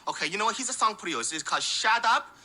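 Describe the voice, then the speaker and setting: A woman's voice: medium pitch, expressive, racing, sharp tone. A woman putting on a bossy male shop boss with an exaggerated fake accent, heard a little off mic.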